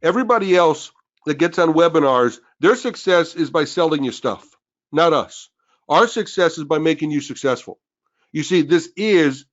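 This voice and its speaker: A man co-hosting a webinar who is losing his voice, pushing a sales pitch and calling out names to rally listeners. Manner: pushy, rallying, calls out names. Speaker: webinar co-host. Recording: dull lo-fi webinar audio.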